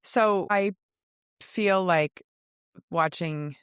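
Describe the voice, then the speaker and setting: A woman's voice: fairly low pitch, drawn-out words, fairly bright tone, clear, resonant tone, no room sound, studio quality. A woman in her forties co-hosting a podcast, chatting casually in a hesitant, reflective way. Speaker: podcast co-host. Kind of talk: casual chat.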